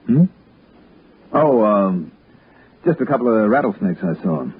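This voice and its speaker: An actor playing a wry, cool-headed American investigator, narrating and talking in a 1960s radio drama. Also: male voice, fairly low pitch, animated, unhurried, husky, heavily acted. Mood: amused, easygoing. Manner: wry, understated. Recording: narrow-band old radio recording with music behind.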